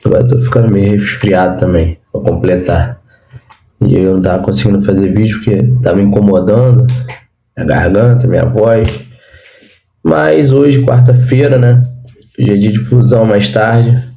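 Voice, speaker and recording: A Brazilian man with a hoarse, sore throat, hosting a casual football commentary vlog in unhurried, chatty phrases. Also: fairly low pitch, soft background music. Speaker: football channel host and radio announcer. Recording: roomy, dull lo-fi sound.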